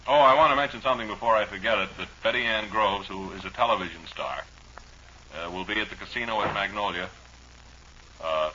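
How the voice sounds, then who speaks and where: Deep-voiced, sharp-edged man rambling on old radio. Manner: hesitant, dryly humorous, meandering. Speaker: radio host. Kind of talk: rambling deadpan comic radio monologue. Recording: muffled noisy old radio recording.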